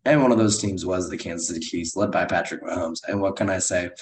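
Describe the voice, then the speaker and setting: Low, slightly hoarse American man, roomy sound. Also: fast talker. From United States. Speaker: co-host of a film and TV review show. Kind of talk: upbeat podcast chat. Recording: roomy echo.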